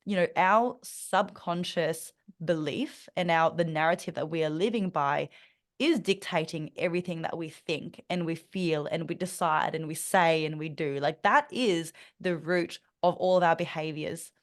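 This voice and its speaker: A woman's voice: deep voice, normal speed. A young woman with an Australian accent, speaking earnestly as a podcast guest, thoughtful, with many fillers and restarts. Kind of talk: conversational interview.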